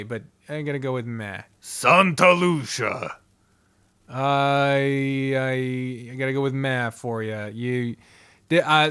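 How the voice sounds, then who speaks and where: A man giving casual, off-the-cuff ranking commentary in an animated way, speaking straight to the things being ranked and swearing freely. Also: medium pitch, clean tone. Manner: animated, addresses the games directly, swears freely. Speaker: video host ranking visual novels.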